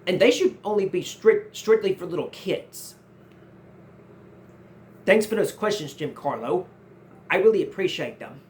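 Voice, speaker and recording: High-voiced young man answering questions. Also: expressive, measured pace, long pauses, a little mellow, slight background noise. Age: 19, nearly 20. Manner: earnest, repeats and restarts phrases often. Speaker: channel host answering viewer questions. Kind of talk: casual Q&A vlog.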